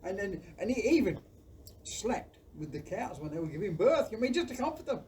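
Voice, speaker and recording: An actor playing a warm, earnest farmer father in an audio play, rambling and repeating phrases in a folksy way. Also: mid-range voice, sing-song, a little fast, lightly acted. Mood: earnest, proud. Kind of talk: community audio play acting. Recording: distant mic with faint background noise.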